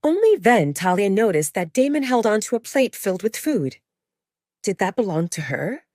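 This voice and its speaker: A woman's voice: medium pitch, very animated, moderate pace, a little mellow, no echo. A woman reading scripted, dramatic third-person narration for a romance audio serial, recorded close in a dry space.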